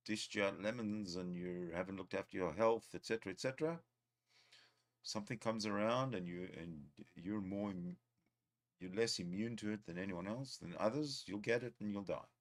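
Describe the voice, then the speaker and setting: A man's voice: on the low side, normal speed. A man from South Africa with a South African accent, chatting casually. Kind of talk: casual chat.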